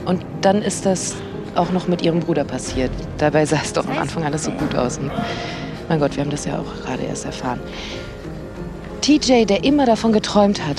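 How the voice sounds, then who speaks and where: Radio play reporter: solemn, sentimental on-location delivery in a slightly low female voice, music underneath. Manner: pathos-laden, solemn, coaxing in asides. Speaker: media presenter. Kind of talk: radio drama acting as solemn on-camera report.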